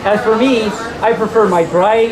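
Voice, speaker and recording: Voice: medium pitch, moderate pace, a loud crowd, lightly acted, poor audio. A storyteller voicing a humble, simple female country mouse in a bedtime tale. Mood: resolute.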